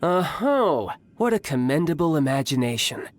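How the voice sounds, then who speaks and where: Cocky smooth young warrior for a game, theatrical, lively pitch, mocking. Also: male voice, normal speed. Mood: coolly mocking. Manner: smug, theatrical. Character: suave, mocking young male fighter, confident. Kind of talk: video game voice acting. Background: faint game music.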